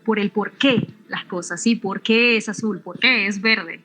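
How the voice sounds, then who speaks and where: A middle-aged woman from Venezuela, a physicist answering interview questions in a halting way, often restarting and adding tag questions. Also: medium pitch, bright, forceful tone, soft background music. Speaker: physicist and researcher, interview guest.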